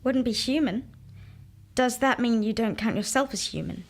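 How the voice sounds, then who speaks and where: A woman's voice: on the high side, moderate pace. An actress playing a reserved, cautious woman journalist in an audio horror drama, asking short probing questions in a hesitant manner. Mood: guarded, probing.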